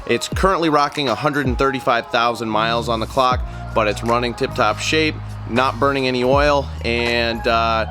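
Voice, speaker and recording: A young man with a nasal, stuffed-up voice from a cold, speaking at an ordinary middle pitch. He talks in an easygoing, sincere way, pausing on ums and uhs as he walks through his car. Music plays clearly beneath his voice. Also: steady tone. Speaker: car vlogger. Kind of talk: casual car walkaround vlog. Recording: music playing underneath.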